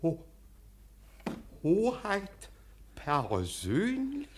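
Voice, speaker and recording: A man's voice: sits high, wildly swooping pitch, extremely slow, a little hiss or hum, exaggerated voice. An actor playing a flustered, obsequious police assessor in a radio play, stammering and hesitant, timidly echoing his superiors. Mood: shocked, apologetic. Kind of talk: German radio play acting.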